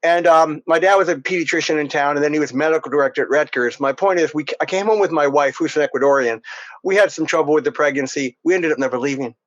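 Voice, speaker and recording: A man's voice: fairly high pitch, fast. A middle-aged man from Princeton, New Jersey, chatting casually as a podcast guest, rambling and chuckling as he tells stories. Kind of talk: casual interview chat. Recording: dull lo-fi audio.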